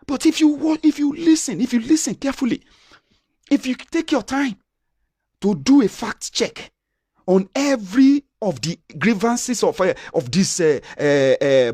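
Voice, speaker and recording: A man's voice: high voice, a little mellow, breathy edge, no room sound, studio quality. A Nigerian man with a Yoruba accent giving passionate opinion commentary, repeating and restarting phrases as he argues.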